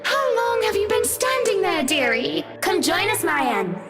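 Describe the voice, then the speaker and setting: A woman's voice: extremely high pitch, sing-song, unhurried, exaggerated voice. A woman voicing a sly, cruel apparition in a visual novel, with a teasing, theatrical, mock-sweet delivery. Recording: long reverb with music behind.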